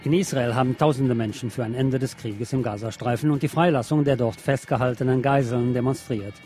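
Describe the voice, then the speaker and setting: A man reading scripted news in a measured, formal manner. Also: mid-range voice, fairly even intonation, dark tone, soft background music. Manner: measured, formal read-out delivery. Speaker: news reader.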